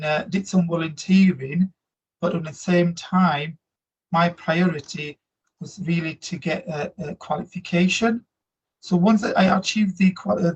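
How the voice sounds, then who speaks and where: A man in his thirties from Slovakia with a Slovak accent, answering interview questions earnestly in halting English. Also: a little high. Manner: earnest, reflective, halting non-native grammar. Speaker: guest, migrant workers support officer. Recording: dull lo-fi audio.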